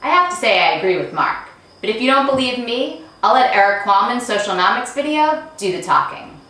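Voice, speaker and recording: A woman delivering a chatty, scripted promotional pitch as an author, with a breezy, playful manner. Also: lively intonation, a little fast, pushed and bright. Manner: breezy, playful, asks and answers rhetorical questions. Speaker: author promoting her book and talks. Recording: very echoey room, distant mic, faint noise.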